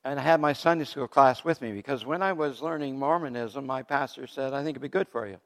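Older man, loud lively pulpit storyteller, mid pitch, ringing hall sound. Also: brisk. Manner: loud, animated storytelling with laughs. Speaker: guest speaker giving testimony. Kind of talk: testimony sermon. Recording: long hall reverb.

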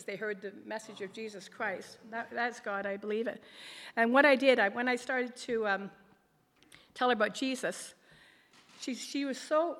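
A woman's voice: fairly high pitch, a bit bright. A middle-aged woman with a big, emphatic voice, giving an earnest, rambling personal testimony as a lay ministry leader. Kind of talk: personal testimony at a church gathering. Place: large reverberant room, speaking to an audience. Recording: reverberant hall.

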